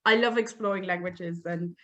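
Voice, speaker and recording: A woman's voice: lowish voice, normal speed, bright, forceful tone. A woman whose first language is German, chatting at length on a video call about her work teaching improv. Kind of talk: casual chat on a video call.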